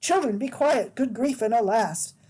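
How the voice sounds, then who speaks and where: A woman's voice: fairly high pitch, expressive, moderate pace, mellow, husky. Woman voicing shocked old-hand teacher, flustered and scolding, rhyming storybook. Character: shocked veteran schoolteacher woman.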